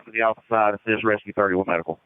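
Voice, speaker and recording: Guy running a fire scene on the radio, talks quick and clipped, tinny narrow sound. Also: lowish voice, fairly even intonation, clear, resonant tone, no room sound. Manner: procedural, reads back messages. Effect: two-way radio. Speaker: fire incident commander. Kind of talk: clipped radio dispatch communication. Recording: phone-like emergency radio transmission.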